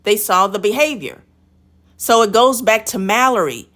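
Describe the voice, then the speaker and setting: A woman's voice: medium pitch, animated, normal speed, a bit bright. A woman hosting a reaction video, commenting on a clip in an emphatic, lecturing way and addressing the guest directly.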